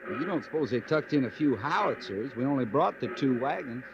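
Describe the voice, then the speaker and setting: An actor playing a plain-spoken, dutiful cavalry sergeant with a wry, folksy manner in an old radio western. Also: male voice, medium pitch, lively intonation, a little fast, natural voice. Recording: dull, noisy old radio recording.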